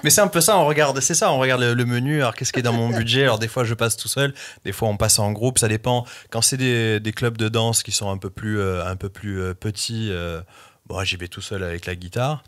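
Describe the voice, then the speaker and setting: A man's voice: medium pitch, brisk, fairly bright tone. A middle-aged man raised in England, English his first language, chatting in an interview as a guest singer-songwriter, with many fillers and restarts. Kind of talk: casual interview chat.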